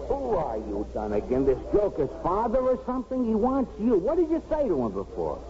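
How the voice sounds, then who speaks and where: An actor playing a gruff, impatient, sarcastic police chief in a 1950s radio drama. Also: male voice, high voice, huge pitch swings, a little fast, heavily acted. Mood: impatient, sardonic. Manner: brusque, wisecracking. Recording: noisy, dull 1950s radio broadcast recording.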